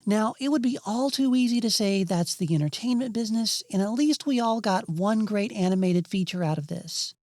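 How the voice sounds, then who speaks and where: A woman's voice: medium pitch, moderate pace, hardly any pauses, a little mellow, no echo. A woman hosting and narrating a scripted video essay. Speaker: narrator and host.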